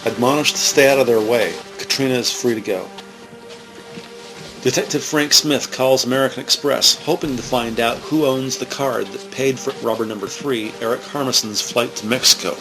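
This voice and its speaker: A man reading scripted narration for a police drama in a measured, factual manner. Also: medium pitch, music in the background, lightly acted, rough recording.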